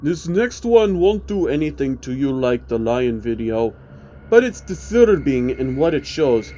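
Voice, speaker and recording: A man's voice: mid-range voice, sing-song, measured pace, warm tone, highs cut off, a little hiss or hum. A man voicing a wary, blunt keeper of cursed tapes in a horror story, giving matter-of-fact warnings.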